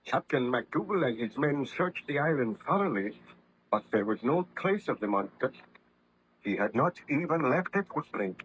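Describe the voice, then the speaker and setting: Anguished, husky nobleman scientist. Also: medium pitch, animated, normal speed, a bit bright. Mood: heavy, narrating. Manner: melodramatic, formal, fervent declamation. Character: anguished, guilt-ridden nobleman scientist, haunted and weary. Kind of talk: old-time radio horror drama acting. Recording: vintage radio drama recording, slightly muffled.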